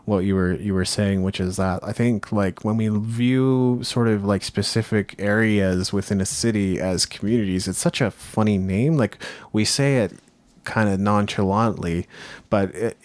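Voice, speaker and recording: A man with a deep voice speaks in a very dry recording as a podcast co-host. He is casual and opinionated, reflecting out loud and rephrasing his points as he goes. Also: moderate pace, dry recording. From Japan.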